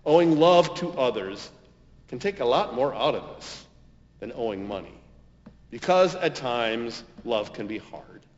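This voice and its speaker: Male American preacher, medium pitch, measured sermon delivery with emphatic pauses; dull recording in a big echoing hall. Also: lively intonation. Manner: measured, deliberate phrasing with emphatic stresses and pauses. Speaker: pastor. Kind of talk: sermon, homily.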